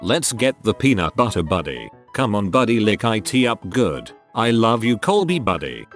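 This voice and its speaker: A man narrating a series of short online stories in a steady, scripted reading style. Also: lowish voice, moderate pace. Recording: faint music behind the voice.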